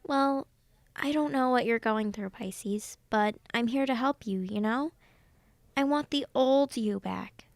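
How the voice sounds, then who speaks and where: A woman acting a supportive, sensible young college friend in an amateur audio drama, gentle and conversational. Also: fairly high pitch, slow, creaky, no echo. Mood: gentle, caring. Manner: gentle, reassuring.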